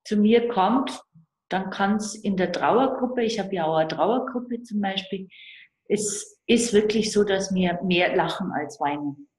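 A woman's voice: medium pitch, a little slow, darkish tone. An older woman, talking personally as a guest counsellor on a video call. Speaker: guest, end-of-life and grief counsellor. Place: small room indoors. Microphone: computer mic on a video call. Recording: roomy video call sound.

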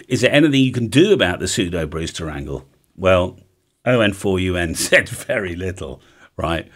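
Deep, husky English man. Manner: conversational, emphatic, checks in with "right?". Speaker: presenter. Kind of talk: informal technical explainer. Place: home music studio room, indoors.

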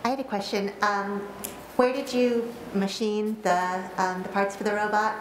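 A woman on a school board asking a question in a halting way, searching for her words. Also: mid-range voice, fairly even intonation. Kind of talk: meeting Q&A. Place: school library meeting room, indoors. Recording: echoey room with clear background noise.

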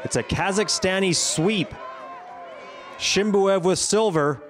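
A man giving scripted highlights commentary on a sports competition as the commentator. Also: slow pace, crowd noise.

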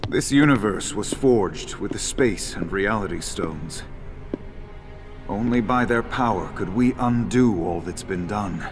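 Low, solemn mystic sage. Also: male voice, animated, over music. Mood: grave. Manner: formal, measured, solemn. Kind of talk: video game cutscene exposition.

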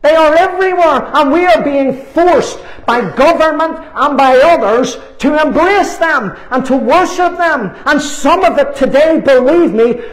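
An older man in his sixties preaches with fervour in a high-pitched voice. He drives points home with emphatic repetition and deliberate pauses. The room adds a clear echo around his words. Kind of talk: fervent sermon. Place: small church meeting room, indoors. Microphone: lapel mic. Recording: roomy, echoing meeting room.

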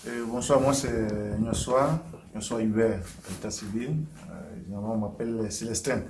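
A man's voice: fairly low pitch, fairly even intonation, normal speed, a little mellow, slight background noise. Hesitant man, roomy far-off sound. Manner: hesitant, full of "euh" and "voilà". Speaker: testimony giver, head of family. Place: small meeting room.

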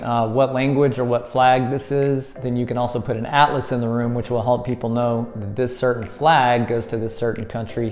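A man's voice: mid-range voice, a little mellow. Upbeat man explaining a puzzle how-to. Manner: upbeat, explains step by step while handling props. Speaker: escape room tutorial host. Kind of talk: friendly how-to demonstration. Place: indoors at a table against a plaster wall.

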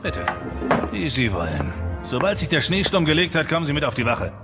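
An actor playing a gruff, domineering police detective in a horror radio play, sneering and interrogating. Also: male voice, a little high, big pitch swings, fairly quick. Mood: sarcastic, impatient. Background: loud music.

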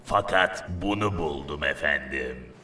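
A man's voice: a bass voice, animated, unhurried, full character voice. A man playing a servile, ponderous henchman in a cartoon dub, speaking deferentially. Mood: dutiful. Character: slow, servile henchman of a villain lord. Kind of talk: animated series dub acting. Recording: echoey, loud music behind.

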